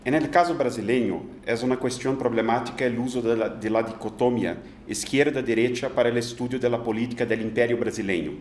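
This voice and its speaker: A man whose first language is Portuguese, speaking Spanish with a Brazilian Portuguese accent, hesitantly reading an academic conference paper with many pauses and fillers. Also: medium pitch, echoey room, a little hiss or hum. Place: conference hall.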